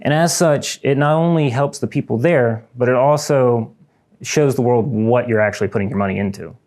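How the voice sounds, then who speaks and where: A man's voice: medium pitch, normal speed, darkish tone. A young man from Ukraine giving a prepared student lecture in a lecture room, earnest and reading from notes with small restarts.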